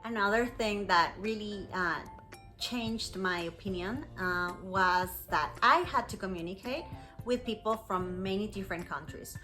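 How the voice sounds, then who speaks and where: A native Spanish-speaking woman from Costa Rica with a Costa Rican accent, telling a personal story to learners as an English teacher, clearly phrased and encouraging. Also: medium pitch, a little slow, pushed and bright, soft background music.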